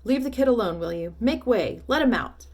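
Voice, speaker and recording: A woman voicing a fat, jolly middle-aged male shopkeeper who exclaims loudly and gets carried away. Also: mid-range voice, brisk, close to an everyday voice. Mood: firm. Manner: excitable, loud and exclaiming.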